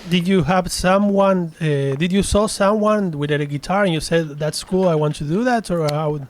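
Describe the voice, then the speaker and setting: A man's voice: sits high, normal speed, a little hiss or hum. A man from Argentina, hosting a casual interview and asking playful, rambling questions. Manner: playful, jokey, asks long rambling questions. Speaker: host.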